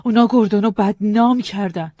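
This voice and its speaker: A man's voice: high-pitched, no echo, studio quality, voice pushed up. A man doing a determined grieving widow. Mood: pleading. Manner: soft-spoken, insistent.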